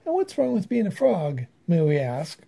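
A man's voice: high-pitched, wildly swooping pitch, moderate pace, vocal fry, dark tone, a little hiss or hum, exaggerated voice. A man voicing flustered, indignant pond frogs from a children's storybook, exclaiming.

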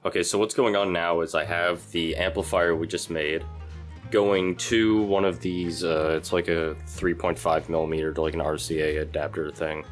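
A man's voice: sits low, steady tone, normal speed, faint music behind. A young man casually narrating a DIY electronics project, with dry, understated humor. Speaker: DIY electronics video maker.

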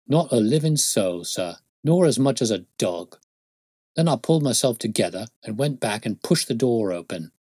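A man's voice: medium pitch, expressive, normal speed, mellow, slightly rough, no echo, studio quality. A man voicing an uneducated, defensive London police constable with colloquial, ungrammatical working-class speech in an audiobook story. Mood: earnest.

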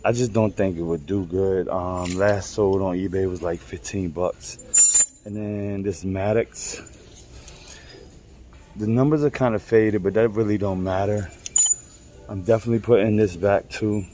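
A middle-aged man chatting casually in a vlog, slangy and informal, with a raspy voice that is wearing out. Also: low voice, steady tone, unhurried, mellow, a little hiss or hum. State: losing his voice. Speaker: vlogger and clothing reseller. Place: in stores, a parked or moving car, and a room at home.

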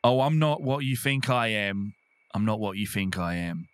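A man's voice: fairly low pitch, animated, normal speed, smooth, no echo. A man chatting casually as a player in a tabletop game, playful and informal. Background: faint guitar music.

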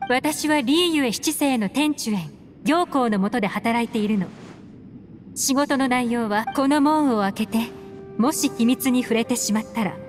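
A woman's voice: on the high side, a little slow, faint music behind, natural voice. A woman playing a cool, sceptical, secretive female agent in a video game, composed and aloof, with elegant phrasing. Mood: coolly teasing.

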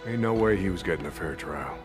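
Earnest, folksy leader, highly animated. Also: male voice, quick pace, over music. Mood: resolute. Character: earnest, principled man, weary camp leader. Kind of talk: dramatic video game acting.

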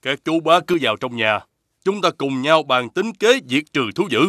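A man voicing a commanding, careful village chief who instructs urgently in an animated fairy tale. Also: fairly high pitch, normal speed, no echo, clean studio sound.

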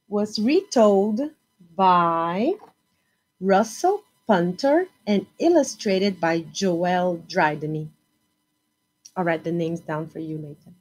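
A woman in her thirties hosting a children's storytime, reading expressively in simple, deliberate phrases. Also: medium pitch, a little mellow, low-quality recording.

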